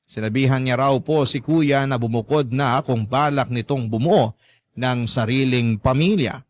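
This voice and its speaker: A man's voice: mid-range voice, dry recording, natural voice. A young man playing an earnest letter sender, narrating family troubles in a polite, confiding radio drama manner. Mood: earnest, saddened. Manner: polite, confiding, measured storytelling.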